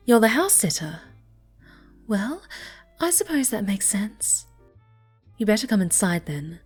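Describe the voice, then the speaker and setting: A woman playing a snobby, pouty young cat girl with a soft side in an ASMR roleplay. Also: medium pitch, sing-song, a little slow, husky, faint music behind. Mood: grudging, snooty. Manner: haughty, pouty, dismissive.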